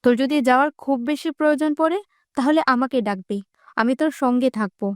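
A woman's voice: high voice, fast talker, no echo, clean studio sound, close to an everyday voice. A woman playing a protective young village girl in a cartoon, emphatic and bossy in a familiar way. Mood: caring, firm. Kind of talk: Bengali fairy-tale cartoon dubbing.

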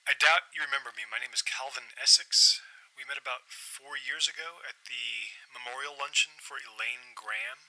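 An actor playing a polite, persistent, obsessed man in an audio drama, speaking in a hesitant, apologetic and halting way. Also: mid-range voice, sharp tone, low-quality recording. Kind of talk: naturalistic audio drama acting.

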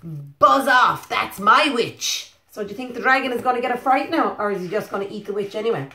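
A woman's voice: medium pitch, rapid-fire, fairly bright tone. An Irish woman in her thirties reading a children's story expressively, with simple questioning asides to young listeners. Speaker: preschool storyteller. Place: living room, indoors. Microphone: phone or webcam at about arm's length. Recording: echoey living room.

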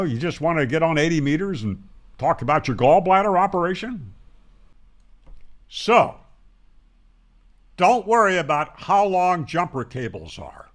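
An older man, in his sixties or beyond, presenting a conversational tutorial in a plain-spoken, emphatic way, pausing to stress key words, close on a microphone in a small home room. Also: a little high, a bit bright. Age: 60s or older. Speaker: presenter of a ham radio channel. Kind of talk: explanatory tutorial to camera, conversational. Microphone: large broadcast microphone close up on a boom.

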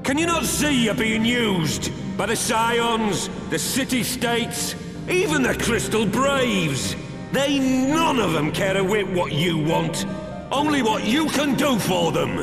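Video game villain: bitter warrior, slow sneering oratory, echoing hall, loud music. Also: male voice, very high-pitched, sing-song, exaggerated voice. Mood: bitter anger. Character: bitter, defiant traitor warrior, middle-aged man. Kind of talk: dramatic video game acting.

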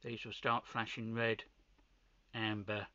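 Male hobby presenter, slow halting informal delivery, forceful bright tone, dull-sounding close recording. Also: lowish voice, little pitch movement, no echo. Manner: halting, pausing between short phrases. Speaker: amateur radio hobbyist presenter. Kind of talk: informal hands-on video demonstration.

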